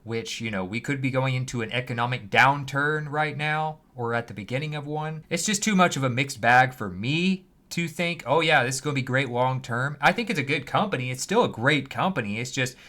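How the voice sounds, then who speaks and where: Bright-voiced man giving stock commentary. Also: medium pitch, fairly quick, few pauses. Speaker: finance commentator, host. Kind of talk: conversational stock market commentary.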